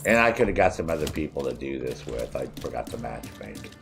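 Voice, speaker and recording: A man's voice: rumbling low, sing-song, brisk, gravelly, music in the background. A man casually streaming a shooter game, chatty and profane, with a soothing voice. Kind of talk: casual live-stream gaming commentary. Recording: game gunfire over voice.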